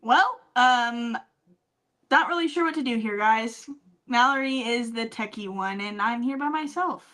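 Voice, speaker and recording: High-voiced woman, playful and blunt. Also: unhurried, a bit bright. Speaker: podcast co-host. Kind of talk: casual chat. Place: remote internet call.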